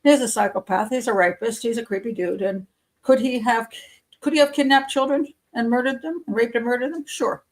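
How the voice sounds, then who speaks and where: A woman who works as a criminal profiler hosts a casual live members' show, rambling and chatty, losing her voice and clearing her throat. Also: a little high, fairly quick, low-quality recording. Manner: chatty and rambling, with frequent fillers and soft laughs. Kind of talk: casual live-stream chat.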